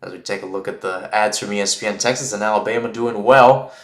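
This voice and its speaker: A man's voice: on the low side, fairly even intonation, quick pace. Man calling football in an echoey room. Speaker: radio-style football play-by-play commentator. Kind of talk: live sports commentary. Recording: roomy, echoing sound.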